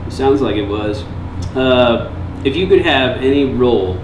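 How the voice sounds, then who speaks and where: A man's voice: medium pitch, lively intonation, unhurried. A man interviewing a voice actor, asking hesitant, casual questions full of fillers. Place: convention room with air conditioning. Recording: echoey room, loud hum.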